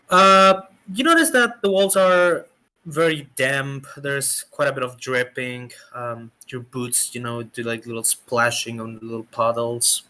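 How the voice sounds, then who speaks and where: Male game master, halting delivery, bright forceful tone. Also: mid-range voice, steady tone. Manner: hesitant, many fillers. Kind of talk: narrating a tabletop game online.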